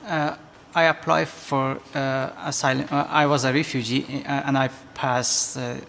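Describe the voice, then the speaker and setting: A man from Afghanistan with an Afghan accent, answering from a studio audience in halting non-native English. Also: medium pitch, flat delivery, gravelly, fairly bright tone. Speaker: audience member answering questions. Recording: reverberant studio hall, faint background noise.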